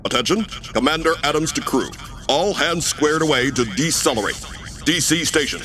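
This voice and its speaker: A man's voice: fairly high pitch, expressive, moderate pace, loud music behind the voice, natural voice. A man playing an authoritative spaceship commander in a radio sci-fi drama, giving clipped, formal military orders. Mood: commanding. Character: brisk, authoritative male space cruiser commander. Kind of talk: old-time radio sci-fi drama acting.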